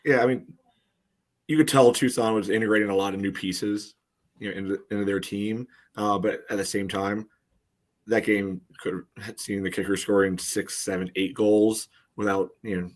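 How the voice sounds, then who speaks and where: Low, even-toned male sports commentator. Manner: peppers talk with "you know". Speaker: soccer podcast co-host. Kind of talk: casual match analysis.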